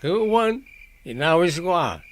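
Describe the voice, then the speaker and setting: A man's voice: a little high, big pitch swings, a little slow, slight background noise. A man playing a stubborn, hard-drinking village husband in a radio drama, dismissive and defensive. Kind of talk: Ilocano comedy radio play acting.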